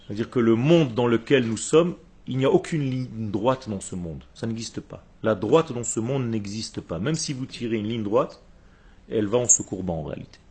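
Male religious teacher, Hebrew speaker. Husky voice, mid pitch, didactic and emphatic delivery in short phrases. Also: normal speed, darkish tone. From Israel. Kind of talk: religious lecture to a group.

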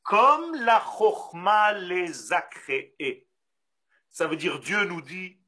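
A man from Israel with an Israeli accent, a Hebrew native speaker giving a Torah lesson in French, animated and full of rhetorical questions. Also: high-pitched. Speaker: rabbi.

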